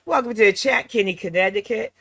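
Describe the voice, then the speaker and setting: Sassy slightly hoarse woman streamer. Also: medium pitch, fast talker, fairly bright tone, dry recording, clean studio sound. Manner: sassy, mocking asides. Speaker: reaction channel host. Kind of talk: casual live-stream chat.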